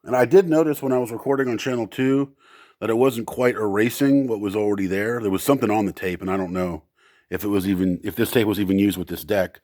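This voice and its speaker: A man with a fairly low voice walks through used equipment he is selling, casual and unscripted. He thinks aloud, often halting and unsure mid-sentence. The sound is very dry, with no room echo. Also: fairly even intonation, a little mellow. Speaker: seller demonstrating used equipment.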